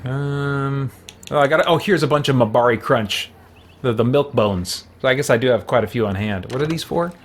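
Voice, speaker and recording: A man's voice: medium pitch, moderate pace, a little hiss or hum. A middle-aged man doing casual gameplay commentary, thinking aloud in a hesitant, musing way. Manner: hesitant, musing, mutters to himself. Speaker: game streamer.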